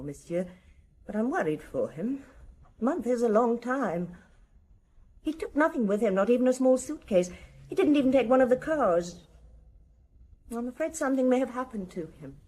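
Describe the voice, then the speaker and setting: Brittle, elegant, nervous wife. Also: female voice, a little high, animated, normal speed, pauses often, darkish tone, slightly rough. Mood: bitter, anxious. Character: elegant, nervous, bitter wife of a missing solicitor. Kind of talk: radio drama acting.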